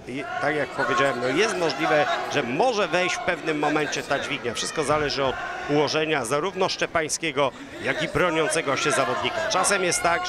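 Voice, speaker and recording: A man giving live commentary on a cage fight, rising to shouts at big moments. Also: a little high. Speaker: MMA commentator. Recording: loud arena crowd and music.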